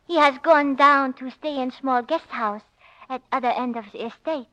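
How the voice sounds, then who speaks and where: A woman's voice: high-pitched, normal speed, fairly bright tone, dry recording. An actress playing a loyal, anxious foreign lady's companion in a 1940s radio drama, earnest and formal with clipped grammar. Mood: anxious, pleading. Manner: earnest, formal phrasing without articles. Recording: dry, dull vintage 1940s radio recording.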